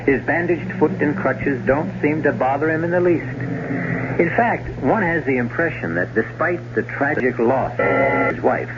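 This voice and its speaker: A man's voice: a little high, lively intonation, moderate pace, natural voice. A man narrating a scripted 1970s radio mystery as a suave, ironic, theatrical storyteller. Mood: wry, suspenseful. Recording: muffled old radio broadcast over music.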